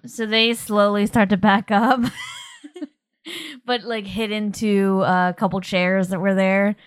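A woman's voice: medium pitch, fairly even intonation, slow pace, smooth, no echo, clean studio sound. A woman acting as game master, improvising narration for a tabletop role-playing game.

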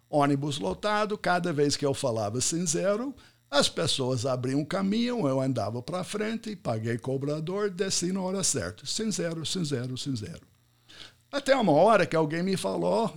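A man's voice: on the high side, vocal fry. A middle-aged American man, a native English speaker, speaking Portuguese in a live online talk and telling anecdotes with repeated words for effect. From United States. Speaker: event speaker.

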